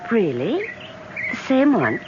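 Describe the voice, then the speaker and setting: Airy, teasing young heiress. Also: female voice, a little high, wildly swooping pitch, takes it slowly, heavily acted. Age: young adult. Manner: airy, playful, disdainful. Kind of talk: old-time radio drama acting. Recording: noisy, dull old radio recording.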